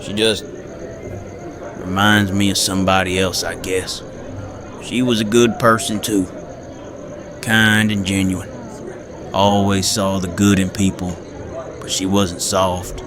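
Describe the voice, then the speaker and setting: A man playing a gruff, protective survivor in an audio drama, terse, blunt and plain-spoken. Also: low voice, little pitch movement, slow pace, pauses often, noisy background. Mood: wistful. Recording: outdoor crowd chatter behind. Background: party crowd chatter.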